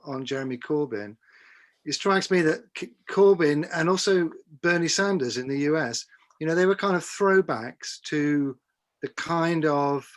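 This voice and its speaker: Thoughtful man with tentative phrasing. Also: a little high. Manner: thoughtful, tentative, restarts words. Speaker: audience member asking a question. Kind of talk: spoken question in a live discussion.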